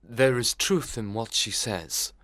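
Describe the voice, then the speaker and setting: A man playing a troubled young minister in a dramatized audiobook, speaking in a measured, earnest, preacherly way. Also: mid-range voice, expressive, no room sound, clean studio sound, natural voice.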